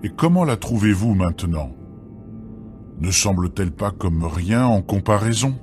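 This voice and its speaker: A man giving a solemn, deliberate, dramatised reading of scripture as narrator. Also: on the low side, lively intonation, darkish tone. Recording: music behind the voice. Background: background music.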